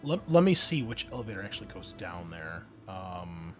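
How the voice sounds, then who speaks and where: A man's voice: sits low, sing-song, moderate pace, over music. A man narrating a tabletop roleplaying game as game master, conversational and improvising rulings as he goes.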